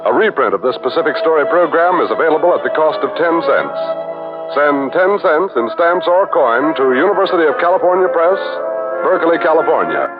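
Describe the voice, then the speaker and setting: A man's voice: fairly high pitch, expressive, brisk. Male 1940s announcer, formal, polished, music playing under, muffled old broadcast. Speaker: radio announcer. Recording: dull 1940s radio with music.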